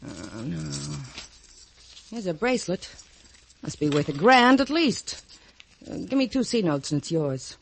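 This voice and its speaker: An actress playing a brash, streetwise young New York moll, slangy and curt, in an old-time radio comedy. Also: female voice, on the low side, very animated, unhurried, vocal fry, pauses often, a little hiss or hum, exaggerated voice. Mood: haggling, brash. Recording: vintage radio drama with music.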